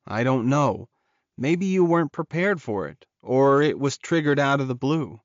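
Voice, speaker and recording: A man's voice: mid-range voice, expressive, normal speed, no echo, lo-fi sound, close to an everyday voice. Man playing a worried, earnest young male friend. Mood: reassuring.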